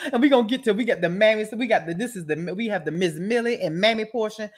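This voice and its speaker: A woman's voice: mid-range voice, brisk. A woman giving casual, profane roast commentary as a show host, brash and mocking, rambling and repeating her phrases.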